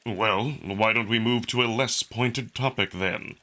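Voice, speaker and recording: A man's voice: on the low side, unhurried, pushed and bright. A man voicing a hesitant, guarded young human male with a defensive way of speaking in a visual novel. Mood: uneasy.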